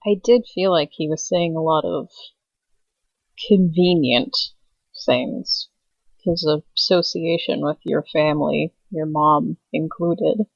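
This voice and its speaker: A man's voice: fairly high pitch, takes it slowly, vocal fry, dry recording, exaggerated voice. A man playing a conscience-troubled wanderer in a tabletop game, hesitant and reflective, on slightly dull audio. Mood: troubled, confessional. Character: uncertain, conscience-troubled wanderer far from home.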